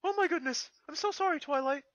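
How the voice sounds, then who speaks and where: Man putting on a high, eager little cartoon dragon. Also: fast talker, fairly bright tone, dry recording, dull audio. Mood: panicked apology. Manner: eager, questioning. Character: excitable young boy dragon sidekick, cartoon pony fantasy.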